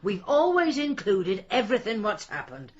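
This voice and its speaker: An actress playing a blunt, disapproving village shopkeeper in a radio drama, rustic and needling. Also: female voice, mid-range voice, big pitch swings, normal speed, lo-fi sound, a little hiss or hum, lightly acted. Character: blunt, grudging village shopkeeper woman, suspicious of Londoners.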